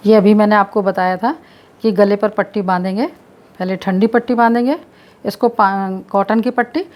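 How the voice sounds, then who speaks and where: A woman presenting health tips, calmly explaining a home remedy step by step while showing how it's done, repeating points as she goes. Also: medium pitch, normal speed. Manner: explains with repetition while demonstrating. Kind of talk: demonstration lesson.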